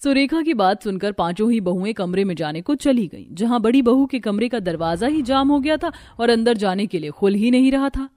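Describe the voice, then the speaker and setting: A woman's voice: fairly high pitch, lively intonation, brisk, hardly any pauses, a little mellow, no echo. A woman storyteller reading scripted narration for a cartoon family story.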